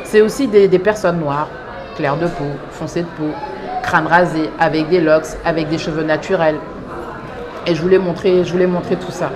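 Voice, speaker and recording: A woman in her thirties from France, a clothing brand founder, talking through her story thoughtfully with many pauses and restarts. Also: deep voice, steady tone, crowd noise. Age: 30s. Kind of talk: interview monologue.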